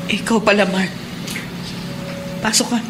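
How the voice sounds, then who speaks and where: A woman's voice: mid-range voice, fairly even intonation, measured pace, natural voice. A woman playing a policeman's worried, ailing wife in a radio drama, speaking in a distressed, emphatic way. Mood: polite. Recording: noisy radio drama with ambience.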